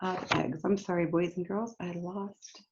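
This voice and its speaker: A woman reading a picture book aloud to young children like a teacher, pausing to explain simply and ask them questions directly. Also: lowish voice, fairly even intonation, creaky, dull audio, background noise. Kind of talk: read-aloud story time lesson for young children, with explanatory asides.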